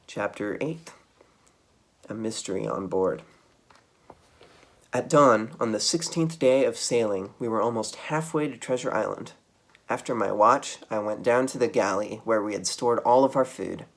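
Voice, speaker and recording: Expressive man reading a children's story. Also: fairly low pitch, moderate pace, gravelly. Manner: dramatic, expressive delivery. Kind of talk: animated read-aloud of a children's book.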